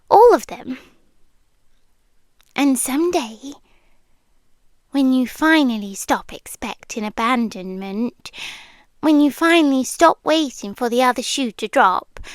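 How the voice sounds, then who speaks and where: A British woman playing a possessive, tender demi-human yandere girlfriend in an ASMR roleplay, softly and breathily, often dropping to a whisper. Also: high voice, no echo, studio quality. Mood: soothing, possessive. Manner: slow, deliberate, pausing between short phrases. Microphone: close, dry microphone.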